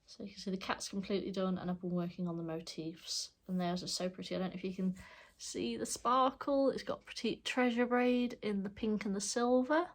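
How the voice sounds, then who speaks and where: A middle-aged woman with a stuffed-up, congested voice. She talks in a casual, rambling way, often correcting herself mid-sentence, like a relaxed home vlog. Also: medium pitch, brisk, few pauses. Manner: chatty, rambling, self-correcting. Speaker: cross stitch vlogger. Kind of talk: casual show-and-tell chat.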